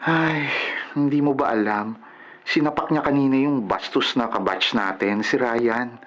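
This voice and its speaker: A man's voice: medium pitch, measured pace, fairly bright tone, natural voice. An actor playing a chatty, frank young man, a concerned friend, in a radio drama. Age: young adult. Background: music.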